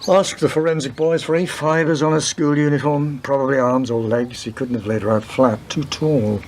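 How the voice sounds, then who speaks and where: An actor playing a weary, wry senior police detective in a radio play, speaking in a dry, deliberate way. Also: male voice, medium pitch, fairly even intonation, a little mellow, lightly acted. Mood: brisk, analytical.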